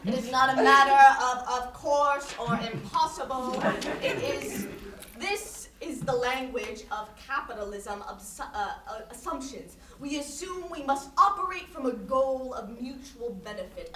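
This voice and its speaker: A high, bright-voiced intellectual woman, played by an actress in a staged play reading. She is contrarian and emphatic, lecturing in halting, repeated negations. The sound is roomy and distant. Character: stubborn, evasive female intellectual lecturing on culture and intention. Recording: roomy, distant stage recording with faint noise.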